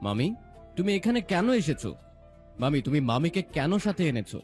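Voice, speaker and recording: Man doing lively young sidekick, highish voice that swings around, audio drama, music under it. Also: a little fast. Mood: worried. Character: young male relative, lively sidekick of the hero. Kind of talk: audio story drama acting. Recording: music behind the voice.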